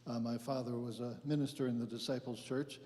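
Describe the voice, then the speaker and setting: A man's voice: mid-range voice, level tone, fairly quick, mellow. A man introducing himself in a class, plain and matter-of-fact. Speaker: class participant. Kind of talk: casual self-introduction. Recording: long church-hall reverb.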